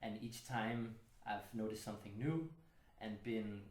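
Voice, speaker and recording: A man with a low-pitched voice leads a casual book club chat, thinking aloud and pausing with fillers. He is recorded in a home studio with noticeable room echo. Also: a little mellow. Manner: reflective, thoughtful, with many fillers. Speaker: host.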